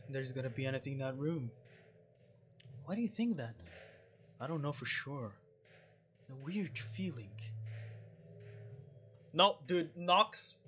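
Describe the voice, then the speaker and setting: A man with a slightly high voice plays a game and reads its dialogue aloud. He rambles casually and drops in joking asides. The sound is a little muffled, with some background noise from a home setup. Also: fairly bright tone. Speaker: let's play streamer. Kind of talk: gaming commentary, reading game dialogue aloud.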